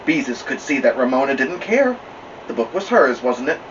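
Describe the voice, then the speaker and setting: A man's voice: medium pitch, normal speed, recorded from a distance. Man reading a children's story, slightly muffled. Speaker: storytime reader. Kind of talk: children's audiobook-style narration. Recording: muffled, off-mic, steady room noise.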